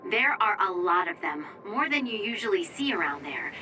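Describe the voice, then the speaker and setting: Woman acting as young female operator, video game, courteous, animated bright delivery, distant, faint music behind. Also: mid-range voice, moderate pace, natural voice. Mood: friendly, helpful. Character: friendly, polite young female mission operator.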